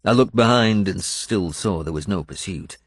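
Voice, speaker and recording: A man's voice: deep voice, moderate pace, no room sound, studio quality. A man narrating an adventure story in the first person as a dramatic audiobook.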